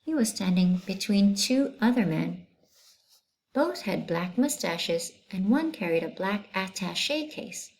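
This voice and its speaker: A woman narrates a children's story in a mid-pitched voice. Her reading is unhurried and lively, swinging in pitch with dramatic emphasis as a storyteller would. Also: poor audio. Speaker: read-aloud storyteller. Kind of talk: expressive children's book narration.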